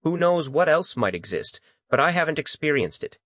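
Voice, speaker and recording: An irritable female spirit, voiced by a male narrator, full of scorn in a fantasy audio drama. Delivery is snappish, with sharply varied pitch and a dry sound. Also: big pitch swings, moderate pace, a bit bright, no echo. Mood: scornful. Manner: snappish, contemptuous.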